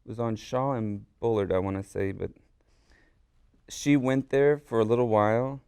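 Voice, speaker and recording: Male guest, first language Korean, eager and rambling; smooth, resonant voice, dry studio sound. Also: lowish voice, steady tone, normal speed, a little mellow, no room sound. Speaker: guest artist and musician. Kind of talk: casual interview chat. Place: radio studio.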